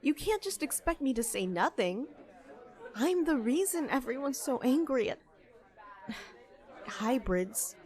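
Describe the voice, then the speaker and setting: A woman's voice: sits high, very animated, slow pace, long pauses, a little mellow, a faint crowd, heavily acted. A woman playing an outspoken, hot-tempered cafe worker who stands up for outcasts, in an audio drama. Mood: upset, heated. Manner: confrontational, impassioned.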